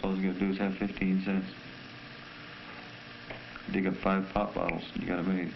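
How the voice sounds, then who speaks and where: Man, deep voice, father chatting at home, muffled old film soundtrack with clear noise. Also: flat delivery, a little fast.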